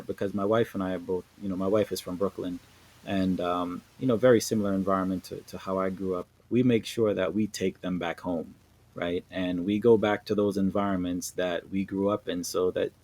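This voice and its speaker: A man from New York speaking as a podcast guest in a relaxed, earnest way, repeating words and checking in with tag questions. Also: on the low side, no room sound. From New York, USA. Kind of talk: conversational interview.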